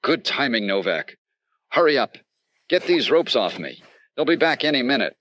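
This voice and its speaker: A man playing a brisk, sardonic, confident insurance investigator in an old-time radio detective drama. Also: mid-range voice, sing-song, fairly bright tone. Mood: urgent. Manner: sardonic, snappy.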